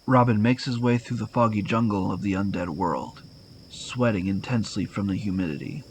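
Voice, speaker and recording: A man's voice: on the low side, normal speed, a little hiss or hum. A man narrating a scripted audio drama as its storyteller. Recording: loud music behind the narration. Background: loud music.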